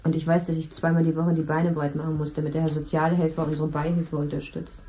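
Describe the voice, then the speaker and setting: An actress playing a bitter, matter-of-fact worker who reels off grim tallies. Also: female voice, low voice, fast, echoey room, highs cut off, slight background noise, close to an everyday voice. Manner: flat, bitter, listing figures. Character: coldly matter-of-fact woman clinic worker. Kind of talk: radio drama acting.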